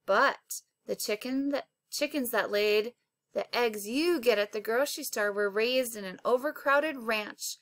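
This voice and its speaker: A proud, folksy mom from a children's storybook, voiced by a woman reader, selling her farm eggs. Her voice is high and bright, and she explains things at an unhurried pace. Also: no echo. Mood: persuasive. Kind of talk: children's storybook read-aloud.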